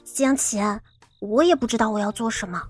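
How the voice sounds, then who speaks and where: High-pitched innocent college girl, played by a woman. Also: moderate pace, slightly rough, faint music behind. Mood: innocent, coy. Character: innocent-acting, cute, cool-faced young college girl. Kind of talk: audio drama acting.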